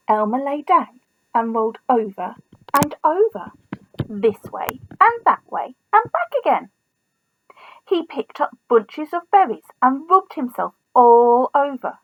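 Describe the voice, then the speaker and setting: Slow, high-voiced woman reading a storybook expressively. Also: narrow, tinny audio. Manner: deliberate, pausing, asks listeners questions. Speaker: teacher reading a story to young children.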